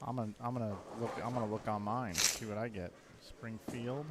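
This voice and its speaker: A man's voice: lowish voice, steady tone, brisk. Easygoing man, noisy bowling feed. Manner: easygoing, agreeable, matter-of-fact. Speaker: stream commentator. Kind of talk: casual commentary chat. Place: bowling alley.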